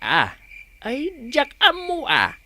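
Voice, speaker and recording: An actor with an Ilocano Filipino accent playing a grumpy, stingy older village uncle in a radio drama. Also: male voice, very high voice, huge pitch swings, slow, pushed and bright, a little hiss or hum, full character voice. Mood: flustered, grumbling.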